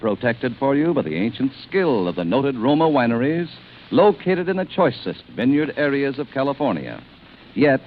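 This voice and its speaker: A man delivering a 1940s radio advertisement as the programme's announcer. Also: medium pitch. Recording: vintage 1940s radio, phone-like, noisy.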